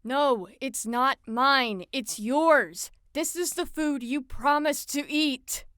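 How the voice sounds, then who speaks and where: A woman's voice: sits high, slow pace, fairly bright tone, dry recording, studio quality. A woman playing a stern, exasperated hospital caretaker in an audio drama, with firm, pointed questioning. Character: stern, exasperated female hospital caretaker scolding a difficult patient.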